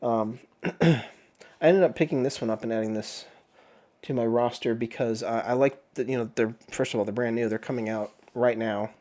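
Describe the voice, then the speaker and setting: A man hosting a book review channel, chatting casually while sick with the flu, his voice a bit off, often clearing his throat. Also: medium pitch, normal speed, darkish tone. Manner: rambling, frequent throat clearing and filler words. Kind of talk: casual chat.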